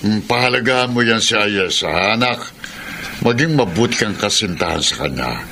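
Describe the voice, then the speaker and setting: A man's voice: lowish voice, animated, normal speed. A man acting in a Filipino radio drama as a kindly older father advising his grown son. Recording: clear noise, ambience with clinking dishes.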